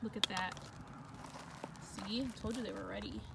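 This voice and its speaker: A woman's voice: medium pitch, steady tone, moderate pace. A woman hosting a casual, chatty hobby vlog, talking informally and gushing as she goes. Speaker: vlog host. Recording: clear background noise.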